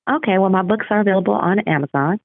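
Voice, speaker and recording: Female author as podcast guest, conversational, noticeable creak, thin phone-quality call audio. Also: mid-range voice, fast, no room sound. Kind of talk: conversational interview answers. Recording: muffled, phone-like narrow-band call.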